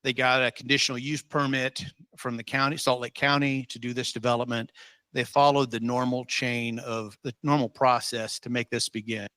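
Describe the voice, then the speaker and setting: Man, state official, public meeting, informal and rambling, forceful bright tone, dry. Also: mid-range voice, level tone, moderate pace, dry recording. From Utah. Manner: informal, rambling, with many ums.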